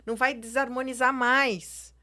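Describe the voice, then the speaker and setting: A woman's voice: high voice, flat delivery, unhurried, sharp tone. A Brazilian Portuguese-speaking woman, a plastic surgeon, explaining her views in a casual interview, full of fillers and tag questions. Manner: explanatory, frequent filler words, tags questions with "né" and "entendeu".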